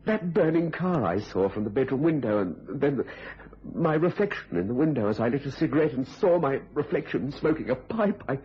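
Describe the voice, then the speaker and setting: An actor playing a frightened, anguished husband who fears for his sanity in a radio thriller, with a halting, overwrought delivery. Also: medium pitch, very animated, a little hiss or hum, natural voice. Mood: terrified, distraught. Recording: dull lo-fi radio recording.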